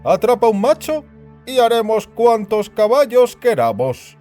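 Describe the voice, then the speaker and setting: A man's voice: extremely high pitch, very animated, measured pace, dark tone, soft background music, voice pushed up, heavily acted. A man voicing an overbearing, disapproving institute director in an audio story, lecturing in an emphatic, condescending way. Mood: scornful, explaining. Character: overbearing big burly male institute director, perpetually disapproving.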